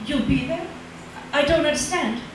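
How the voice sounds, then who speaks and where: An actress playing a frightened, guilt-ridden wife in a 1940s-style radio drama, with an anxious, pleading manner. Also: female voice, high voice, moderate pace, close to an everyday voice. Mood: nervous, guarded. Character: frightened, guilt-ridden wife faking widowhood in an insurance fraud. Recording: roomy, distant, hissy old radio recording.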